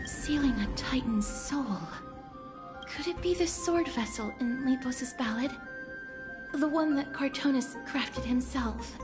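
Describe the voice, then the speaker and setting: An actress playing a solemn, gentle young priestess who guides souls in a video game, speaking in measured, incantatory phrases. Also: female voice, sits high, over music. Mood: pondering.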